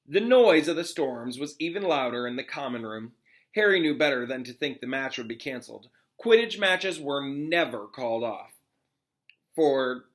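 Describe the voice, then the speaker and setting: A man's voice: medium pitch, a bit bright. A man who is a classroom teacher, reading a storybook aloud expressively with casual, informal asides to pupils.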